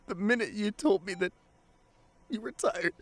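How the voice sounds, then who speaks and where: Guy acting a guilt-ridden loving boyfriend, highish voice, soft intimate asmr roleplay, halting and emotional. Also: lively intonation, breathy edge, heavily acted. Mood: regretful, distressed. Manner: tender, halting, emotional delivery with repeated phrases. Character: devoted, guilt-ridden boyfriend pleading at comatose lover's bedside.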